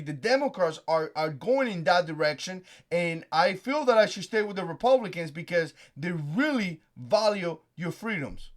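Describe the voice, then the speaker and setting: Animated high-voiced Cuban-accented man. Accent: Cuban Spanish. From Cuba. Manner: animated and emphatic, rhetorical questions. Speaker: channel host. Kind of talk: opinion vlog monologue.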